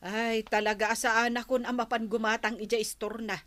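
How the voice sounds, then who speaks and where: Casting: chiding village gossip woman actor, Ilocano accent, bright and forceful, slightly high voice, radio comedy. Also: flat delivery, normal speed, natural voice. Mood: gossipy. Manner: chiding, blunt.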